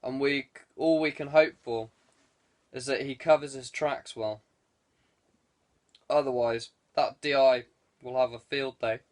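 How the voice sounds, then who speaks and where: A man's voice: medium pitch, fairly even intonation, a little slow, long pauses, lightly acted. A man voicing an anxious, grave woman in an audiobook. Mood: worried. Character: worried, sombre woman. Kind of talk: audiobook character dialogue.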